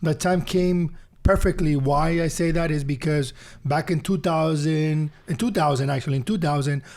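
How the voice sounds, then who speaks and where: A man's voice: a little high, moderate pace, a little mellow. Earnest man in his thirties. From Honduras. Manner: earnest, repeats his phrases for emphasis. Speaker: podcast guest. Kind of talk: casual storytelling interview.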